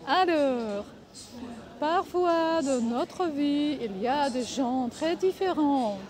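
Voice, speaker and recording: Non-native woman, slow speech, fillers and word searches, crowd noise behind. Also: high voice, expressive. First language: not French. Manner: halting, many filler pauses, searching for words. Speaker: vlogger. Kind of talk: casual advice vlog.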